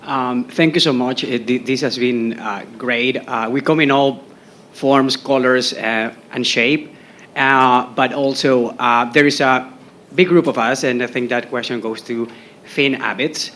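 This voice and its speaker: A man whose first language is Portuguese, asking the panel a question from the audience in a friendly, halting way. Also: mid-range voice, fairly even intonation, a bit bright, slight background noise. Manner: friendly, halting, many fillers.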